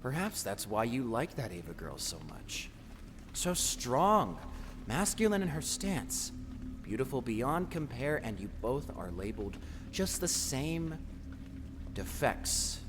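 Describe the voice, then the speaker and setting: An actor playing a menacing, taunting, grandiose tormentor in an audio drama, swinging between whispers and shouts. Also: male voice, mid-range voice, big pitch swings, takes it slowly. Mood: menacing, mocking. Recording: long hall reverb, faint noise.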